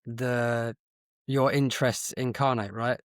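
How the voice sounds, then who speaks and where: A man's voice: mid-range voice, steady tone, slow, no echo, studio quality. A man hosting a podcast, speaking as a conversational interviewer. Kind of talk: podcast intro and casual interview chat.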